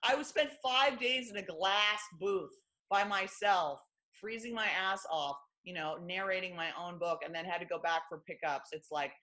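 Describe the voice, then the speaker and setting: A woman from Los Angeles with a deep, low voice, a former stand-up comic talking candidly and wryly as an interview guest. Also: moderate pace, pushed and bright, rough recording. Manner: wry, self-deprecating, lots of fillers like "you know" and "like".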